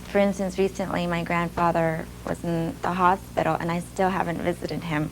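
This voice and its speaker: A young woman talks in a soft, halting, reflective way, with a gravelly fry running under many of her words. Her voice comes from an older video clip, with a faint hiss behind it. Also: lowish voice, little pitch movement, rough recording. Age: young adult. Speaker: former student telling her story in a video. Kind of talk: personal testimony. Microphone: archival video clip played during a webinar.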